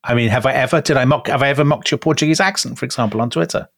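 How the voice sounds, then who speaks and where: A man's voice: mid-range voice, very fast, creaky, a bit bright, dry recording, studio quality. A man co-hosting a podcast, chatting in a teasing, mock-indignant way. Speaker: podcast co-host. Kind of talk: bantering podcast chat.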